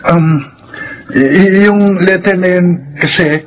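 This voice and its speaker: A man's voice: high voice, takes it slowly. An actor with a Filipino accent playing a shy, nervous, lovesick young man who hesitates and stammers, in a radio drama. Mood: flustered, embarrassed.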